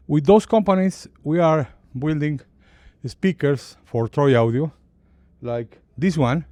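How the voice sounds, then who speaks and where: A man with a non-native English accent gives an unscripted product presentation as a company chief, haltingly and searching for words. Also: medium pitch, darkish tone, no room sound.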